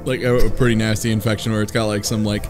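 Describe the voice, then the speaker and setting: A man's voice: lowish voice, level tone, fast talker, music in the background. A man chatting casually as a panelist on a podcast, in informal everyday speech. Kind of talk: casual chat.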